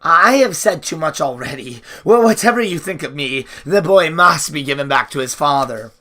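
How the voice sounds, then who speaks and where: A man's voice: fairly high pitch, lively intonation, normal speed, pushed and bright. A man voicing a small, miserable, nervous messenger in a storybook, hesitant and wailing as he explains himself. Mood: grave, fearful.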